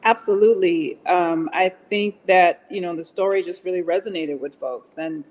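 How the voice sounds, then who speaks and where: A woman's voice: lowish voice, moderate pace, darkish tone, poor audio. A woman speaking as an interview guest, earnest and effusive in a casual, conversational way. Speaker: podcast drama producer and actress, guest.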